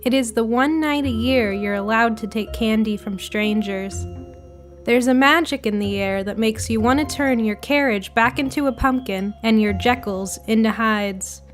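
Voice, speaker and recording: High-voiced woman narrating over music. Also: moderate pace. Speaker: storyteller. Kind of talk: scripted short-story narration.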